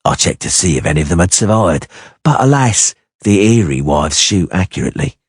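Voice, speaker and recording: A man voicing a cold, menacing assassin who drawls extremely slowly, in an audiobook reading. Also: low voice, very animated, husky, no room sound, studio quality, heavily acted. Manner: slow drawl, sinister.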